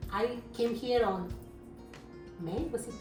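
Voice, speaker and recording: A woman's voice: on the high side, slow. A woman from Peru with a Peruvian Spanish accent, a native Spanish speaker, chatting casually as a co-host helping to cook. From coastal Peru. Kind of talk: casual chat. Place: indoor kitchen with cutlery and dishes. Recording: roomy kitchen, distant mic, music underneath.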